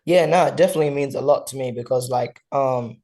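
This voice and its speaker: This is a young man from South Sudan, a fighter appearing as a podcast guest. His voice is warm and mellow at a middling pitch. He speaks in casual slang and keeps checking in with "you know". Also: fast talker. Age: young adult. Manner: laid-back slang, frequent "you know". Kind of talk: casual interview.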